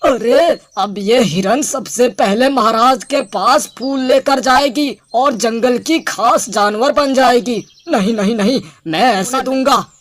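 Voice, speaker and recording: Woman as an arrogant cartoon camel, unhurried and high. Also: no room sound. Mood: anxious. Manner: boastful, scornful. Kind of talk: animated animal moral story.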